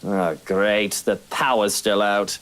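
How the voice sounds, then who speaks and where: A woman's voice: extremely low pitch, steady tone, slow pace, voice pushed down. A woman voicing a haughty, irritable rich man from a visual novel, imperious and sarcastic. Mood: sarcastic, irritated. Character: haughty, irritable wealthy man, employer of servants.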